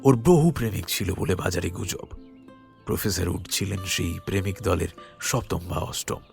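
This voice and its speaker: A man playing a calm, forthcoming police captain in an audio story, explaining things in a measured way. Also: sits low, vocal fry, soft background music. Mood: calm, explanatory.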